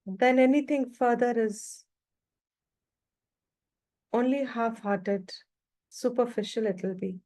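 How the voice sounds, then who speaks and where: A woman with a fairly high voice guides a meditation at a very slow pace. She gives calm, unhurried instructions in short phrases, leaving long pauses between them.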